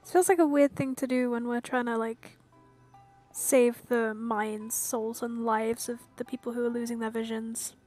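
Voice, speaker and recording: A woman with a fairly high voice comments casually on a game as a streamer. She muses aloud and hesitates between phrases. Faint music plays behind her. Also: fairly even intonation, moderate pace, a little mellow.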